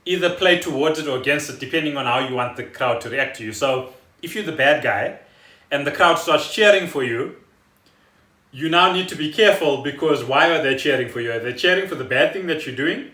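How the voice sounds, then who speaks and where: A man co-hosting a casual podcast, explaining things in an animated, storytelling way. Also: a little high, brisk. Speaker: podcast co-host. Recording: roomy echo, faint music behind.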